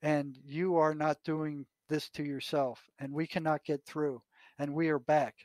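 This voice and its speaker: Higher-pitched older American man, dry sound. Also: little pitch movement, unhurried, darkish tone, dry recording. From United States. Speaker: retired psychotherapist, podcast guest. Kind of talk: conversational anecdotes and reading aloud from written notes.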